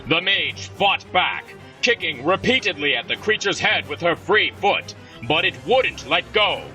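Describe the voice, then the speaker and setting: Guy narrating fantasy audio drama, bright voice, bit far from mic. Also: high voice, animated, a little slow, faint music behind.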